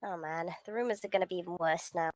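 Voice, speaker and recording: A woman's voice: on the low side, quick pace, dry recording, clean studio sound, pitched below the performer's own voice, close to an everyday voice. A woman reading the part of a beleaguered, sarcastic teenage schoolboy in a visual novel, in a grumbling manner. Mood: worried. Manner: grumbling, sardonic. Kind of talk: visual novel read-aloud.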